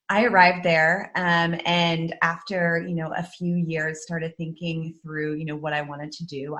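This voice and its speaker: A woman who grew up in the Netherlands, a guest author telling her story in a relaxed, reflective interview. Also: on the low side. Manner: reflective, earnest, many fillers like um and you know. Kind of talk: casual interview chat.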